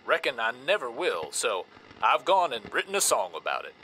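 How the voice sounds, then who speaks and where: A man's voice: medium pitch, wildly swooping pitch, moderate pace, bright, forceful tone, slight background noise, low-quality recording. A man playing a brooding young vampire country singer, laconic and folksy, in an audio drama. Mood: wry, laconic.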